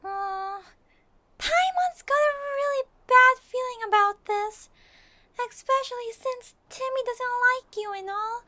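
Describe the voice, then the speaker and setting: A woman's voice: slow pace, sharp tone, no echo, heavily acted. A woman voicing a tiny, childlike, chatty fairy companion in a fan audio roleplay. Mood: alarmed. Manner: refers to self in third person, squeaky and childlike.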